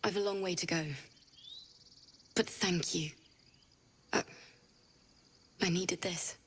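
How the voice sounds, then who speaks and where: A woman playing a gentle, grieving young tiefling bard in a video game, speaking in a halting, confiding way. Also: fairly low pitch, fairly even intonation, slight background noise. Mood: tender, grieving. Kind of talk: video game drama acting.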